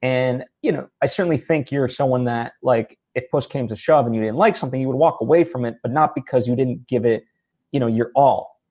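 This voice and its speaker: Dull lo-fi audio, man, medium pitch, interview host, casual, discursive, checks in often. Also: a little fast, darkish tone. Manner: discursive, hedging, checks in with the guest. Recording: slightly dull, lo-fi sound.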